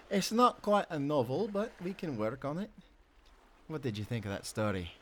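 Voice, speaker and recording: A man's voice: on the high side, voice pushed up. A man playing an affectionate Scottish sailor husband, telling stories in a tender, playful and intimate way. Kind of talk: intimate roleplay storytelling.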